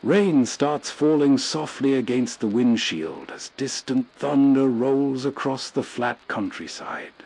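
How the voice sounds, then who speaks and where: Male storyteller-host, breathy husky voice, unhurried measured delivery, atmospheric scripted narration. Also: mid-range voice, a little mellow.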